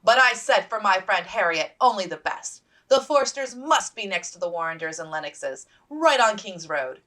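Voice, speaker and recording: A woman voicing a brisk, commanding and effusive officer's wife in a period storybook reading. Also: mid-range voice, expressive, bright, forceful tone, voice pushed up. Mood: gushing.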